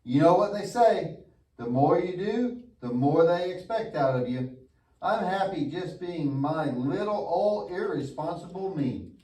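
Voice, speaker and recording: A man's voice: on the high side, lively intonation, unhurried, a little mellow. A man playing a goofy, lazy, mischievous young boy puppet in a church show, with an exaggerated, wisecracking delivery. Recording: echoey room, distant mic.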